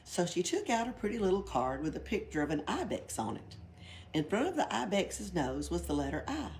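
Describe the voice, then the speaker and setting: A woman's voice: lowish voice, big pitch swings, fairly quick, a little mellow. A woman reading a children's storybook aloud expressively. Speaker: library read-aloud reader. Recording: background noise, library read-aloud.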